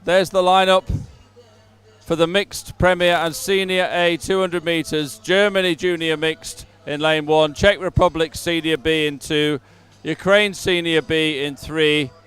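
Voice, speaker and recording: A male sports presenter talks off the cuff, live from a waterside venue. His voice is high for a man and exceptionally clean and smooth, with music playing faintly at the venue behind him. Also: fairly even intonation, a little slow, a bit bright. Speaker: sports event presenter and commentator. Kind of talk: unscripted live event commentary. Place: outdoors, waterside at a racing venue.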